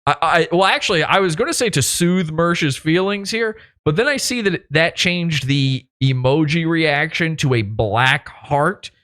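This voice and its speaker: A man's voice: a little high, animated, measured pace, hardly any pauses, a bit bright. A man hosting a podcast interview, sarcastic and mocking as he reads out comments and messages. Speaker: podcast host.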